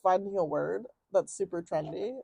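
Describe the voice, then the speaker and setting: Young woman, podcast co-host. Loud, slangy casual talker, low warm voice, dry recording. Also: moderate pace, vocal fry, husky, no echo, poor audio. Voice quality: loud projecting voice. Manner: chatty, laughs often, lots of "like" and slang. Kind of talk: casual chat.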